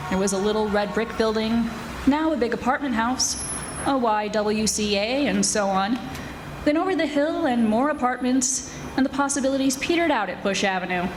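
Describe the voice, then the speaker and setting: A woman playing a wisecracking private detective in 1940s radio mystery style, with brisk, wry, hardboiled delivery. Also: a little high, lively intonation, background noise, lightly acted. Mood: measured narration. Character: brisk, wisecracking female private detective narrating her case. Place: live stage radio theatre performance. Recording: echoey stage recording with music.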